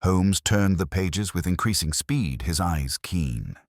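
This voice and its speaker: A man's voice: low-pitched, animated, slow, a little mellow, no echo, studio quality. A man reading a scripted detective story as a first-person narrator. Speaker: audiobook narrator.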